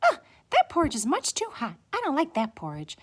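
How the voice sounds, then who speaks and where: Fussy little fairy-tale girl, acted by a woman: high, singsong, exclaiming, hugely animated pitch. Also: a little fast, heavily acted. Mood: fussy complaining. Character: little girl Goldilocks, hungry, fussy, easily tired. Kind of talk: children's storybook character voice.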